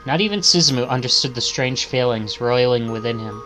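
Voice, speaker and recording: A man's voice: medium pitch, brisk, music in the background, poor audio. A man streaming a visual novel, reading it aloud in English with dramatic narration.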